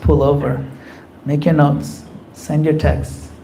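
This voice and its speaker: A man's voice: fairly high pitch, little pitch movement, takes it slowly, gravelly, warm tone, a little hiss or hum, rough recording. A man giving a conversational temple class, acting out quoted voices as he explains. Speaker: spiritual teacher. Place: temple hall.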